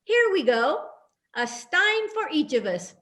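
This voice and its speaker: A woman acts out a sociable bartender in a radio-style drama. Her voice is high and very animated in pitch, and she talks in a hospitable, chatty manner. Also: slow pace, full character voice. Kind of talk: radio-play style readers theatre acting.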